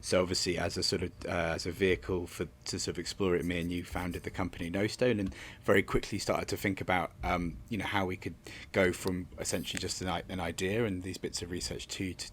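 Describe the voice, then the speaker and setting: Male, low-pitched voice; director reflecting in interview, halting delivery with repeated words and hedges. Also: a little hiss or hum. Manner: halting, often repeating words and hedging with "sort of". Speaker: theatre director being interviewed.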